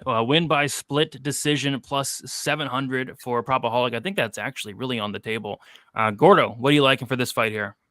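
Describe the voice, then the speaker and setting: A man's voice: medium pitch, fairly quick, fairly bright tone, no room sound. A man in his twenties hosting a casual betting livestream, reading chat questions and joking loosely. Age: 20s. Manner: loose, jokey, reads chat questions aloud. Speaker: host of a betting show.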